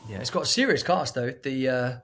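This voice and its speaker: A man's voice: medium pitch, lively intonation, fairly quick, fairly bright tone, slightly rough. A man co-hosting a casual film podcast, enthusiastic and often fumbling for actors' names. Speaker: podcast co-host. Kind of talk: casual chat.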